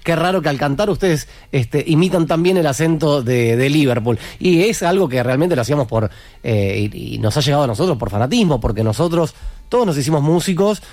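A man's voice: on the high side, animated, brisk, darkish tone, dry recording. A man with an Argentine accent, a musician answering interview questions with explanatory anecdotes and frequent tag questions that seek agreement. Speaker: musician in a tribute band, interview guest.

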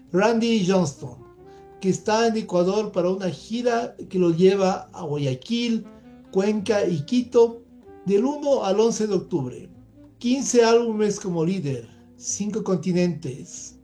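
A man hosting a radio interview with a polished, measured presenter delivery from a studio. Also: high voice, warm tone. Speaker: radio show host. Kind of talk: radio interview, formal welcome.

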